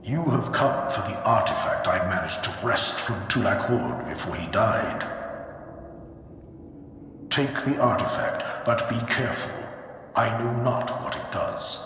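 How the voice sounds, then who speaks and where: An actor playing the ancient ghost of a proud, brooding Sith lord in a video game, speaking in a solemn, archaic, imperious manner. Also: male voice, fairly low pitch, lively intonation, a little slow, fairly bright tone. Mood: grave warning. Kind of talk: theatrical video game voice acting. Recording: echoing hall reverb, faint noise.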